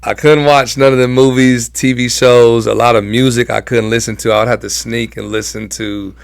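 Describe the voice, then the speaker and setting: A man's voice: mid-range voice, level tone, normal speed, creaky, clear, resonant tone, no room sound. A young American man telling personal stories casually as an interview guest, in informal, slangy speech. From Southern California, United States. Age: young adult.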